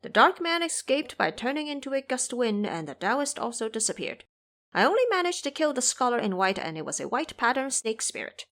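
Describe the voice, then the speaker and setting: A brash monkey disciple for a fantasy audio drama, acted out by a woman. The voice sits high and swings widely in pitch. It is swaggering, emphatic and commanding, with a protective streak. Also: very animated, brisk, fairly bright tone. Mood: boastful reporting.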